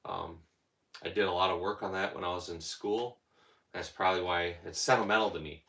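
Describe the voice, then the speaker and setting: A man's voice: deep voice, a little fast, creaky, pushed and bright, echoey room. A man hosting a casual livestream, rambling and answering viewers' comments as they come in. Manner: rambling, talks back to chat comments. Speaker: livestream host.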